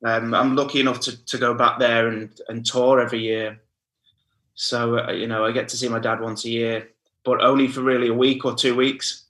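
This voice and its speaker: A man's voice: medium pitch, level tone, moderate pace, bright, forceful tone, low-quality recording. A man in his thirties with a Northern English accent, talking casually as a podcast guest and saying "mate" and "you know" often. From northern England. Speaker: guest, house music DJ and producer.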